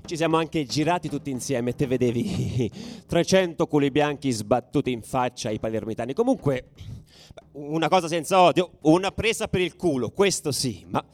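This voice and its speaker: Actor as a volatile football ultra, animated delivery. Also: male voice, on the high side, moderate pace, faint music behind, close to an everyday voice. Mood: heated, excited. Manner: colloquial, foul-mouthed, volatile, swinging from hushed to shouted. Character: fiery male football ultra recounting street clashes. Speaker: actor narrating a radio theatre monologue. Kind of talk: dramatic first-person storytelling, radio theatre.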